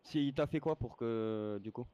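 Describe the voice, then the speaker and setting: A man's voice: medium pitch, moderate pace, warm tone, a little hiss or hum. A man moderating an online roleplay game, explaining casually and insistently, often repeating words. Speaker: game server staff moderator. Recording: dull lo-fi voice chat.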